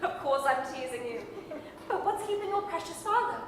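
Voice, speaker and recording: A woman's voice: very high voice, lively intonation, normal speed. A woman playing a fussing, teasing, affectionate mother in a radio-style staged reading. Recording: very echoey hall, distant, faint hiss.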